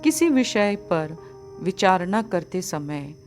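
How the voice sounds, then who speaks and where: A woman narrates a spiritual text at a very unhurried pace. Her voice sits slightly low, and her delivery is measured, formal and instructive. Soft music plays faintly behind her reading. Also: very animated. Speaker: audiobook reader of a spiritual book. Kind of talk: scripted narration of a religious discourse.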